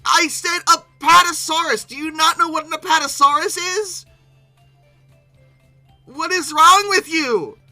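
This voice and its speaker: A very bright, forceful voice delivers off-the-cuff reaction commentary over music. The delivery is animated and incredulous, stumbling into repeated words with exasperation. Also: very high voice. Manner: halting, repeating words when flustered. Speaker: video creator hosting a reaction commentary. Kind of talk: informal, unscripted reaction commentary.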